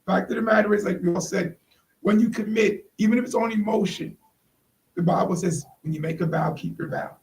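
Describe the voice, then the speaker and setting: Casting: high-voiced male co-host, informal preacher's delivery, picked up from a distance. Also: a little fast, darkish tone. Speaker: talk show co-host. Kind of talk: exhorting talk, like informal preaching. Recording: distant microphone.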